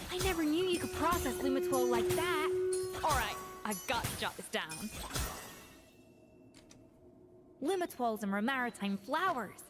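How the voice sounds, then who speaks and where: A woman's voice: high voice, expressive, normal speed, loud music behind the voice. A woman playing a poised young chef in a video game, keen and inquisitive, with a thoughtful manner. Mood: excited.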